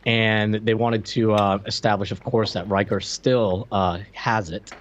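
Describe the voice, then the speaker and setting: A man with a moderately low voice chats as a podcast co-host. He explains details of a TV show informally. His phrasing is halting, restarting as he works toward each point. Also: fairly even intonation, background noise. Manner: halting, explanatory, with quoted asides. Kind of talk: casual chat explaining TV episode details.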